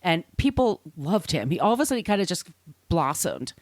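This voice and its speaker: A woman's voice: fairly low pitch, big pitch swings, a little fast, no room sound. A woman hosting a podcast, reading scripted narration with casual, irreverent asides. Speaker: podcast host.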